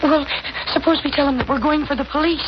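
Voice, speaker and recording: A woman playing an upset, accusing heroine of an old radio melodrama. Her voice is extremely high, sharp and emotional. The recording is dull and buried in noise. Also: fairly even intonation, fast, lightly acted. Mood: uneasy. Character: distraught, accusing young woman in murder mystery. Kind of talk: 1940s radio acting.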